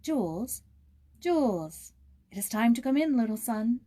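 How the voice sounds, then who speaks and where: A woman's voice: a little high, very animated, unhurried, dark tone, pitched above the performer's own voice. A woman voicing a tender young mother in a storybook, calling to her little son in a loving sing-song. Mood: fond calling.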